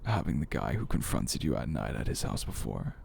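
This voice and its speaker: A man performs a confident, flirtatious big brother in an intimate ASMR roleplay. His voice is deep and dark with a crackly fry. He talks in a teasing, familiar way, like someone close by. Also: sing-song, exaggerated voice. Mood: soft, probing.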